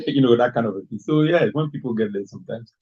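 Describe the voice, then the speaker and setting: A man from Ghana co-hosts a casual chat, telling anecdotes in a chatty, laughing way. His voice is a little husky. The recording sounds dull and lo-fi. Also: mid-range voice, quick pace, a little mellow.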